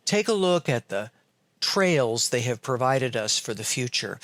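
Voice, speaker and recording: A woman's voice: a bass voice, dry recording. A woman hosting an interview podcast, asking long, winding questions full of fillers. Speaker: podcast host.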